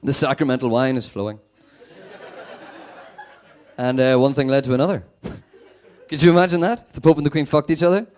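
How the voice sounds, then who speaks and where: A young man from Northern Ireland with a Northern Irish accent, performing a stand-up set with a sweary, deadpan manner. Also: medium pitch, moderate pace, long pauses. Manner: sweary, animated, deadpan asides. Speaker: stand-up comedian.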